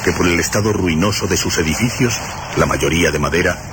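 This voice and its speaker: A man narrating a scripted suspense radio play with dramatic, deliberate phrasing. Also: low-pitched, noisy background.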